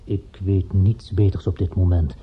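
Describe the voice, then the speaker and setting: An actor in a radio play playing a solemn, pious older gentleman who consoles in an earnest, sermonising way. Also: low-pitched, fairly even intonation, a little slow, slight background noise. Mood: pious consoling. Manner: earnest, sermonising, hesitant when upset. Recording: dull lo-fi radio play recording.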